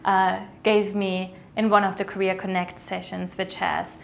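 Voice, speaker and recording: A woman from Germany talks with a gravelly, creaky voice. She speaks earnestly and mostly fluently in an interview, with a faint background noise behind her. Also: mid-range voice, little pitch movement, a little slow. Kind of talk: personal interview.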